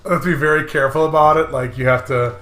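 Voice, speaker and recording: A man in casual, crude, excitable banter, rambling his way through jokes over a video game. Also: fairly high pitch, fast talker, reverberant. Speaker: co-host of a playthrough show. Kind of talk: casual joking banter over a visual novel.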